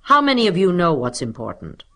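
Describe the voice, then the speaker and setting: Woman voicing a pompous little male schoolteacher, animated. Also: fairly low pitch, brisk, pitched above the performer's own voice, heavily acted. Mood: pompous lecturing. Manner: pompous, professorial, commanding. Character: small dapper male substitute teacher, pompous and snappy. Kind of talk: children's storybook character voice.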